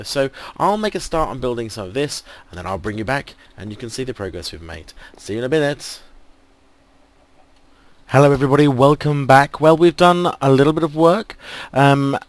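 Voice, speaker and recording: A man's voice: medium pitch, animated, fairly quick. An English man commentating casually on his game, thinking aloud with many ums, while losing his voice. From England. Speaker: gaming video host. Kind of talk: casual let's play commentary.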